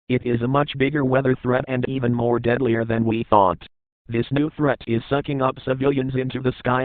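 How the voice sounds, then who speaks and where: A man delivers a scripted emergency alert in a formal, impersonal way. His middle-pitched voice is measured and official. The sound is thin and phone-like, with no room around it. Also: dry recording. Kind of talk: scripted emergency broadcast announcement. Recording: narrow phone-like band, dry.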